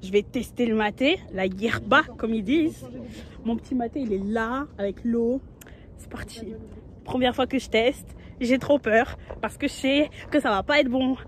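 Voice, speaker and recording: A woman's voice: fairly high pitch, moderate pace, slight background noise. A young woman talking casually to a phone camera outdoors, chatty and playful. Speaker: travel vlogger. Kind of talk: casual vlog talk to camera. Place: outdoors in nature. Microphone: phone at arm's length.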